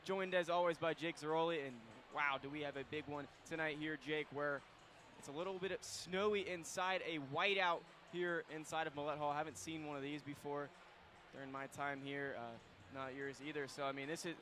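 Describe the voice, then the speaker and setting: A young man hosting a college basketball pregame show on student radio, courtside in a packed arena, talking excitedly and rambling with fillers. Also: a little high, brisk. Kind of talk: live basketball pregame talk. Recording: faint arena noise behind.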